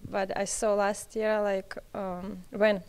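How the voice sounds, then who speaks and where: A young woman, a college tennis player, answering interview questions haltingly in short phrases. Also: mid-range voice, flat delivery, a little mellow, clean tone. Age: young adult.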